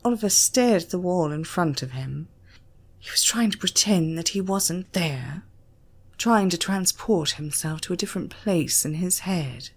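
A British woman narrates fiction as a dramatic audiobook reader. Her delivery is slow and deliberate, and her pitch moves widely through the sentences. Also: lively intonation, breathy edge.

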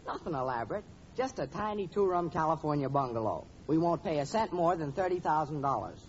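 A man's voice: on the high side, lively intonation, brisk, lightly acted. Man playing gawky lovesick student, gushing, melodramatic, 1940s radio comedy, muffled noisy recording. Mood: lovestruck. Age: young adult. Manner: gushing, overwrought. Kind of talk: 1940s radio sitcom acting.

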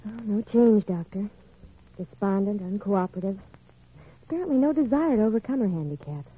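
An American actress playing a deferential, coolly clinical hospital nurse with a husky voice in a 1940s radio drama. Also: female voice, medium pitch, lively intonation, brisk. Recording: old radio recording, tinny narrow band.

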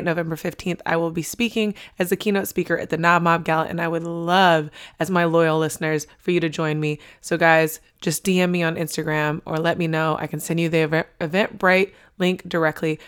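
A woman hosts a motivational podcast, hoarse from losing her voice, energetic and preachy, repeating key lines for emphasis. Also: on the low side. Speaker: podcast host.

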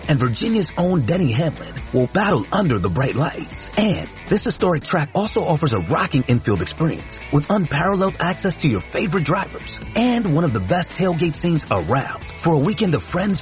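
An American man reading a scripted promotional voice-over for an advert. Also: on the high side.

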